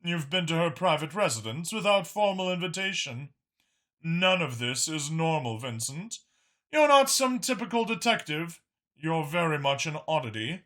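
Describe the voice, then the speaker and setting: A man voicing a powerful, patient dragon senator who explains things in a measured, self-assured way. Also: on the high side, sharp tone, voice pushed up.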